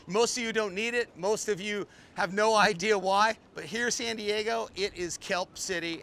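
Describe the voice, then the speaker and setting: An older man with a high, bright voice walks a viewer through a sailboat in a loose, opinionated way. He speaks bluntly and drops joking asides. He is recorded first on deck at a marina, then close up inside the boat's cramped cabin. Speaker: boat tour host. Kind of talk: casual walkthrough commentary.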